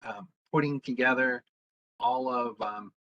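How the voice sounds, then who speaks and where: A man, a town historian, giving an informal public history talk, pausing often with ums and uhs. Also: mid-range voice, bright, forceful tone. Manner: halting, fills pauses with frequent ums. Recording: dull lo-fi sound.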